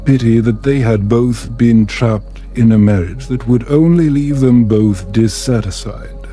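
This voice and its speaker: A man reading a scripted bedtime story in a calming, soothing, measured way. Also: mid-range voice, faint music behind. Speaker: storyteller, narrator.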